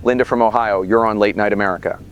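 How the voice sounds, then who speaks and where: Man acting a fast-talking, low-voiced radio host. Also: steady tone, clear, resonant tone, lo-fi sound, natural voice. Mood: grave, urgent. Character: late-night radio host broadcasting through blackout, grave. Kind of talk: scripted radio host narration.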